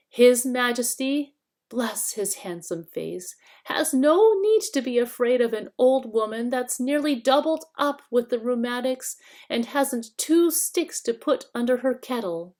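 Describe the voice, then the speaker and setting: A woman's voice: high voice, a little mellow, voice pushed up. A woman voicing a fawning, spiteful old crone in a thin, whining, wheedling voice for a storybook reading. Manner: obsequious, wheedling.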